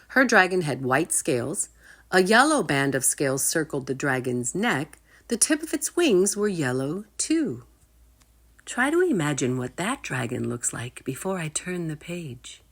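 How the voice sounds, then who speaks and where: Low-voiced woman reading expressively to children. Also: very animated, measured pace. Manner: engaging listeners with asides. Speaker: children's storytime reader. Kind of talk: expressive read-aloud narration for children.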